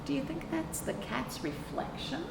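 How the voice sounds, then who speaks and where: A middle-aged woman reading a picture book aloud to young children as a librarian, explaining deliberately and asking rhetorical questions. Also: high-pitched, big pitch swings, creaky. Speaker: children's librarian hosting storytime. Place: indoor room with sofa. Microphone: camera a few feet away. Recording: echoey, noisy room, distant mic.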